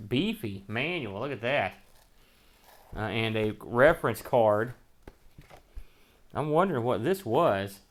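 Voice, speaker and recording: A man hosting an unboxing show, giving casual, off-the-cuff commentary in a folksy, colloquial way as he thinks aloud. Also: medium pitch. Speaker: host of a retro computer unboxing show.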